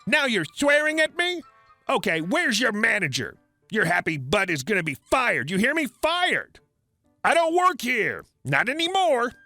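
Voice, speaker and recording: A man's voice: high voice, sing-song, moderate pace, bright, forceful tone, no echo, faint music behind, voice pushed up, full character voice. A man voicing a rude, entitled middle-aged woman shopper who screeches demands and insults. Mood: shrieking.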